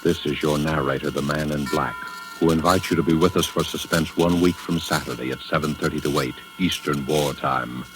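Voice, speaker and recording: A man's voice: rumbling low, steady tone, brisk, rough recording. A man narrating a suspense program in an ominous, scripted 1940s radio style. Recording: old radio broadcast over music.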